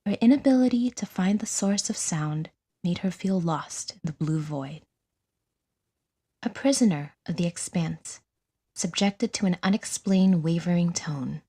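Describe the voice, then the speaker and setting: A woman reads dramatic fiction as a narrator. Her voice sits a little low, with a soft, dark tone and a very breathy texture. She tells the story in a measured, descriptive way. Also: expressive. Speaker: audiodrama narrator.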